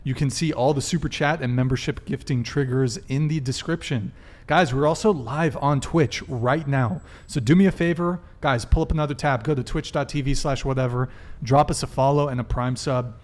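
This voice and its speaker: A man's voice: medium pitch, moderate pace, darkish tone. A man hosting a live talk podcast, casually addressing his viewers. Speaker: podcast host.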